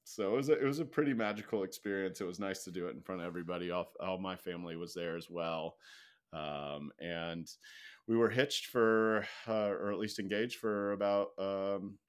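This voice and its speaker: Low-voiced man telling his story. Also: steady tone, normal speed, smooth. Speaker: podcast guest. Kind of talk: casual personal storytelling.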